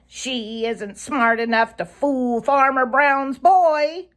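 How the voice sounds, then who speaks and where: A woman's voice: high voice, slow, fairly bright tone, pitched above the performer's own voice. A woman voicing a mocking male jay in a children's storybook, a gleeful troublemaker with a taunting manner. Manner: taunting, singsong provocation.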